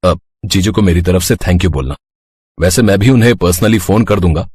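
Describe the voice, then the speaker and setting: Guy doing cartoon indian husband and brother, low husky voice, peacemaker type, dry sound. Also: moderate pace, darkish tone, no room sound. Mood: grateful. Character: conciliatory Indian family man, caring brother and harried husband. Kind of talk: Hindi animated family story voice acting.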